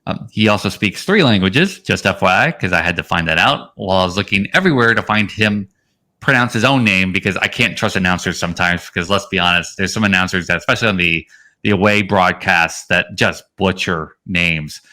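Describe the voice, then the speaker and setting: A man's voice: low voice, a little fast, pushed and bright. A man co-hosting a fantasy baseball podcast, giving casual analysis in a rambling way that stresses key points. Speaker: podcast co-host.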